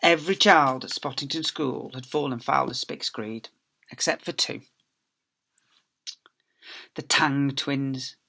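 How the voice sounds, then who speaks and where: A man's voice: mid-range voice, slow, vocal fry, long pauses, bright, forceful tone. A man, a teacher reading aloud, tells a children's story expressively, with theatrical emphasis and phrases that drop away at the end.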